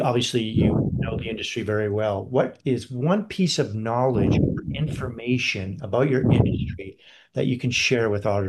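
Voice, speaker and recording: A man's voice: mid-range voice, gravelly, darkish tone, rough recording. A man hosts a business interview podcast. He opens by reading a formal introduction of his guest. His questions run long and loop through several parts before he lands on the point. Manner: rambling multi-part questions. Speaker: podcast host.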